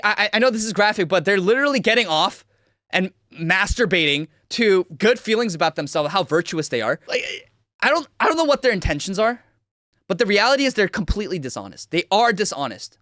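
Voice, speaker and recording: A man's voice: high voice, a bit bright, no echo, studio quality. A young man of Korean origin giving a heated, repetitive livestream commentary rant as host. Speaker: streamer host.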